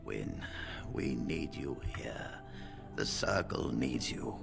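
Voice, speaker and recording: An actor playing an aged, dignified, wry wizard leader in a video game, with a gravelly, breathy voice and a measured, formal manner. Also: male voice, a bass voice, very animated, over music, heavily acted. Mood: fatherly. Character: aged male First Enchanter mage, wry and dignified.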